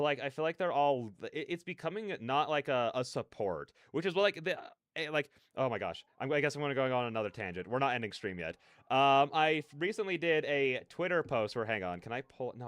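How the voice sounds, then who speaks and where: Clear-voiced man rambling casually on a livestream. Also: mid-range voice, no echo. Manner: rambling, animated slangy asides, self-interrupting. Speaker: livestreamer, content creator. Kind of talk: casual livestream rant and chat.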